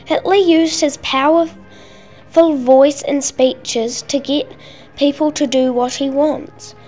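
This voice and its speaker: Woman, high pitch, scripted school-project narration, careful delivery, occasional stumbles. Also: fairly even intonation, slow pace, warm tone, soft background music. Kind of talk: scripted narration, read aloud.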